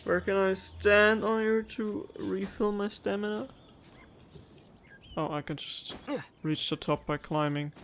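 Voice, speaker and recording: A man's voice: high-pitched, fairly even intonation, unhurried, a bit bright, a little hiss or hum. A man giving casual let's-play commentary, musing aloud and rambling with playful complaints. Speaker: gaming streamer. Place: own room at home. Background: game music.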